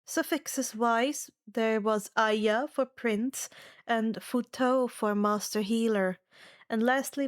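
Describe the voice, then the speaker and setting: A woman narrating and hosting fan fiction readings in a measured, expressive storytelling manner. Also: a little high. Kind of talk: scripted first-person story narration.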